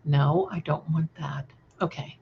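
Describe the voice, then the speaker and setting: A woman hosting a casual livestream, chatting to viewers in an affectionate, effusive and somewhat halting, scattered way. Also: deep voice, creaky, slight background noise. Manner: affectionate, effusive, addressing listeners with endearments. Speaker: livestream host and creator. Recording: dull, lo-fi livestream audio.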